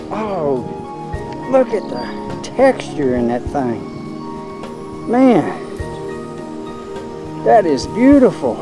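Slow folksy older cyclist outdoors. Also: male voice, on the high side. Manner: folksy, rambling, thinking aloud. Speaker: vlogger. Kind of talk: casual vlog narration. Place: outdoors on rural roadside. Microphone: camera held by cyclist.

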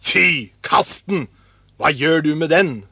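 A man's voice: fairly high pitch, very animated, no echo. A man voicing the scornful, know-it-all older brothers of a folktale, dismissive and scolding in his manner. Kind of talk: acted storybook dialogue.

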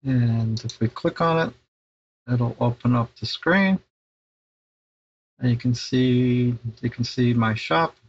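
A man's voice: medium pitch, slow, long pauses, breathy edge, lo-fi sound. A middle-aged man giving a casual, informal step-by-step tech tutorial, sometimes hesitating and repeating himself. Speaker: tech installer presenting a how-to tutorial.

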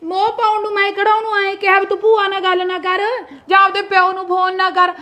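Woman doing a mom, middle-aged, sharp tongue, kinda high voice, snaps back quick. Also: close to an everyday voice. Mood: defensive. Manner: argumentative, quick retorts, scolding. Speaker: mother arguing with her sister-in-law. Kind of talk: family skit acting.

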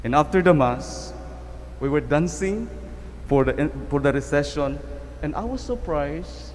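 A man's voice: fairly high pitch, a little mellow, a little hiss or hum. A Filipino priest giving a conversational homily at a lectern mic in a church, telling a story and often repeating his words. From Philippines. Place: church sanctuary. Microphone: stand mic at lectern. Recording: reverberant church, faint ambience.

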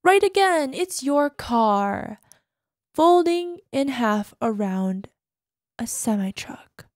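A woman's voice: high voice, big pitch swings, slow, no echo, studio quality, exaggerated voice. A woman playing an obsessive, possessive girlfriend in an ASMR roleplay voicemail, sweetly menacing, dropping into whispers. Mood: possessive, threatening. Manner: breathy asides, drops into whispers, syrupy pet names.

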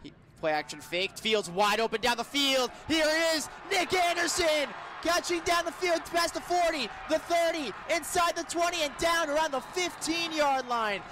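An American man working as a radio play-by-play announcer, calling live football in an excitable, emphatic manner. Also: very high-pitched, moderate pace, hardly any pauses, noisy background. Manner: excitable, builds to emphatic calls on big plays.

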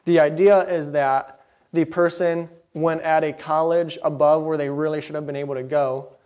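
A young man delivers a sermon from a church pulpit, his voice sitting a little high for a man. He speaks earnestly into the pulpit microphone, slipping in quick asides with a mildly indignant edge. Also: flat delivery, a little mellow. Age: young adult. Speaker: preacher. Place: church sanctuary, behind a wooden pulpit.

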